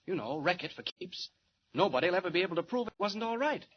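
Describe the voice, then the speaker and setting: A man's voice: high voice, very animated, quick pace, breathy edge, full character voice. A man playing a mild, earnest young newspaper reporter in a 1940s radio drama, on a muffled, noisy recording.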